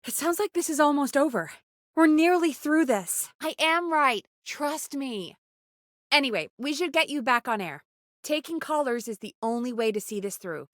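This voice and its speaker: Game role: young female radio producer, high voice, anxious yet wry and caring, natural delivery, close dry sound. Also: bright, forceful tone, no room sound. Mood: urgent, encouraging, then hopeful, tense. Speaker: actor voicing a radio producer in a video game. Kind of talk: naturalistic video game dialogue acting.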